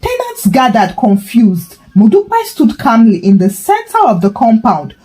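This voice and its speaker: A woman's voice: a little high, huge pitch swings, a little slow, warm tone, soft background music. A woman storyteller giving a scripted, dramatic narration of a folktale.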